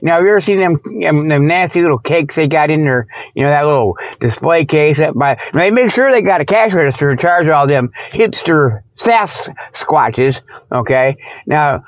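A man's voice: fairly high pitch, animated, moderate pace, no echo. Folksy rambling man, dull lo-fi sound. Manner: folksy, stammering, digressive, chuckling at own jokes. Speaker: radio show host. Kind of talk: rambling comedic monologue.

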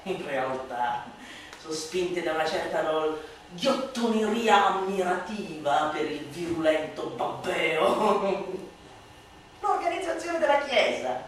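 A woman giving a theatrical, mocking, declamatory recitation of a satirical text, swinging between hushed slow phrases and loud sing-song. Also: fairly low pitch, expressive. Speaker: stage performer reciting a literary monologue. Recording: very echoey room, distant mic, light noise.

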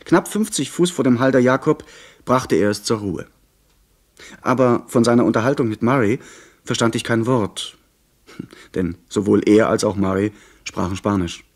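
An actor playing an earnest, polite young gentleman adventurer in a 1970s German radio drama. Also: mid-range voice, normal speed, lightly acted. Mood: measured, narrating.

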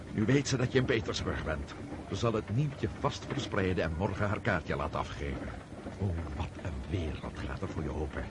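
Smooth scheming old prince, very lively pitch. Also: male voice. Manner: patronising, suave, with fussy interjections. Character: scheming, worldly older Russian prince, smooth and patronising. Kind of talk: radio drama acting. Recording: noisy old radio play recording.

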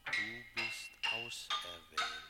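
A man's voice: sits low, sharp tone, slightly rough, exaggerated voice. An actor playing a ghostly unseen male voice, chanting spellbinding rhymes in a radio drama, with music behind. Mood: eerie, incantatory. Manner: slow, intoned.

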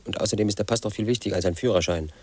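A man's voice: lowish voice, racing, darkish tone, close to an everyday voice. An actor playing a confused, paranoid man in his late thirties, telling a rambling radio play monologue. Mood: agitated. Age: middle-aged. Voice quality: gravelly, husky. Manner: rambling, confiding, sinks to a whisper. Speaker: lead actor.

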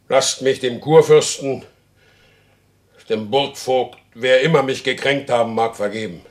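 An actor in a German radio play, playing a proud, stubborn horse dealer who demands justice in solemn, formal speech. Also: male voice, medium pitch, a bit bright. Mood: solemn pleading.

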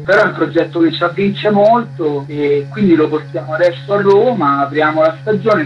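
A man's voice: a little high, lo-fi sound. A man talking reflectively and at length about theatre work as a guest in an informal radio interview.

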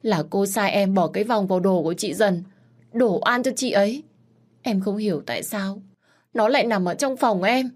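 A woman voicing a frightened young female servant who begs in a high, tearful voice. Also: normal speed, close to an everyday voice. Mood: desperate.

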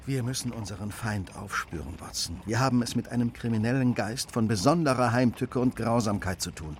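An actor playing an incisive, self-assured detective in a German radio drama, eloquent and commanding, moving between hushed and emphatic delivery. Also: male voice, mid-range voice, a little fast, a little hiss or hum, natural voice. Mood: indignant, determined.

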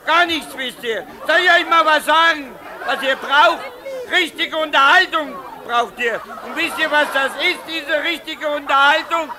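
An actor playing a coarse, defiant underdog ranting bluntly and loudly in a 1950s radio play. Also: male voice, extremely high pitch, unhurried. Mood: defiant, scornful. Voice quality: loud, shouting. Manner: coarse, blunt, colloquial, insistent and repetitive. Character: rough, defiant, ranting male underdog at a society costume party. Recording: noisy 1950s radio recording, loud crowd. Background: loud crowd of party guests.

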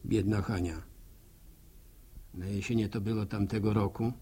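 An actor playing a sympathetic, grumbling village countryman who muses aloud, with a gravelly, husky voice, in a radio drama. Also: on the low side, fairly even intonation, warm tone. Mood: indignant. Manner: rustic, musing, drawn-out, hesitant repetitions. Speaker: narrator character in a radio serial. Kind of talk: folksy radio drama monologue.

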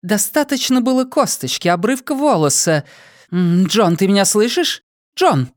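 A man putting on an imperious high-voiced noblewoman. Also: very animated, normal speed, dry recording, clean studio sound. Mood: urgent, commanding. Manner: commanding, sharp-tongued. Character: imperious noblewoman interrogator, sharp and impatient. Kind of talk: audiobook character voice.

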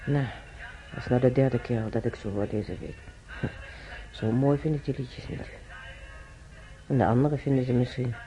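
An actress playing a kindly, resigned elderly woman in hospital in a radio play, musing quietly to herself. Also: very deep voice, fairly even intonation, moderate pace, pauses often, soft background music. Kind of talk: Dutch radio play acting. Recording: noisy radio drama recording.

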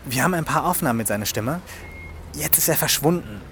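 An actor playing an earnest, brooding police investigator in a German radio crime drama, speaking thoughtfully and insistently. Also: male voice, fairly high pitch, lively intonation, brisk, fairly bright tone, a little hiss or hum. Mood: earnest, careful.

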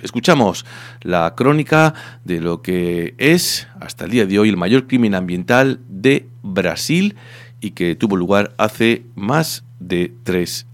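A man presenting radio news and introducing a book reading in a measured, deliberate way. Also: fairly low pitch, dry recording. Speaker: radio host.

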